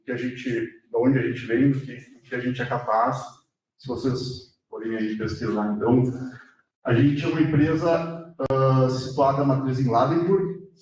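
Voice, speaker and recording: A man's voice: medium pitch, fairly even intonation, moderate pace, darkish tone, recorded from a distance. Casual man giving an intro in an echoey hall. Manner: casual, with tag questions like "né?". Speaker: company representative introducing the speaker. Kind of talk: brief company introduction.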